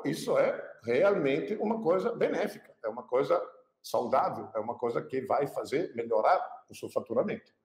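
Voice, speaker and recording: Pernambuco guy chef, fairly high voice, talks with lots of repeats for emphasis, roomy echo. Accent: Pernambuco Brazilian Portuguese. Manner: expressive, repeats phrases for emphasis. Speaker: chef giving cooking business advice. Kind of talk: casual talk to camera. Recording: echoey room.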